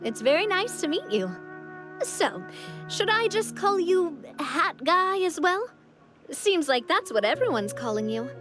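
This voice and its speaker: A friendly young lady with a very high voice and lively intonation. She is confident and courteous, like a gracious young leader in a video game. Music sits behind her. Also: unhurried, heavily acted. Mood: cheerful, courteous. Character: friendly, polite young woman, confident society president. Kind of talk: English video game voice acting.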